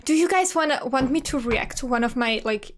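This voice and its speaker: A woman's voice: high-pitched, expressive, fairly quick. A woman in her twenties with a German accent, chatting casually as a streamer reacting to her old video, full of "like" and frequent laughs. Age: 20s. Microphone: USB studio microphone.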